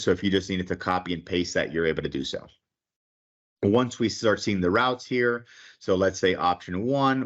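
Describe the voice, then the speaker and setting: A man presenting a software product walkthrough in a webinar, talking casually with frequent "okay" checks and filler words. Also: low voice. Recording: dull lo-fi webinar audio.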